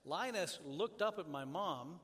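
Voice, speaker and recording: A man who grew up in Tanzania, preaching as a pastor in a church, praying and then teaching in a measured, earnest cadence. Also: a little high, expressive, a bit bright, slightly rough. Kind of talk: sermon with opening prayer. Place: church sanctuary. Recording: church hall reverb.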